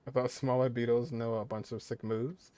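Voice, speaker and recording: A man with a medium-pitched voice chats casually over gameplay. His delivery is amused and offhand, like a streamer reacting as he plays. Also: little pitch movement, normal speed, mellow, dry recording. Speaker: gaming streamer.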